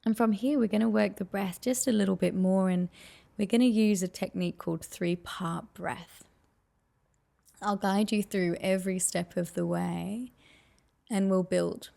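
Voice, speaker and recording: A woman leading a guided meditation with soft, soothing, unhurried and gently encouraging instruction. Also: mid-range voice, fairly even intonation, gravelly.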